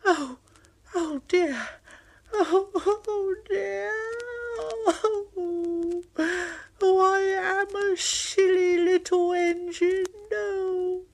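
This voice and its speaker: A man voicing a small, anxious, cheeky male engine in a high, squeaky, childlike voice. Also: takes it slowly, darkish tone, full character voice. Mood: whimpering.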